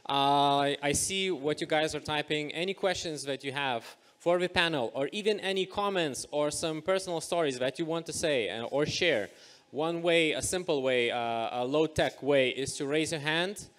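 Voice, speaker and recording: A man moderating a live panel discussion on stage, upbeat and energetic, with many filler sounds. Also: a little high, moderate pace. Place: event stage.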